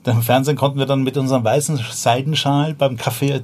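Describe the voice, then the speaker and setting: A man's voice: medium pitch, fairly even intonation, fairly quick, darkish tone. A man in his forties with a Viennese accent, a podcast guest talking casually in a loose, slangy way, full of fillers and restarts. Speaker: podcast guest, startup founder. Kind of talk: casual conversational storytelling.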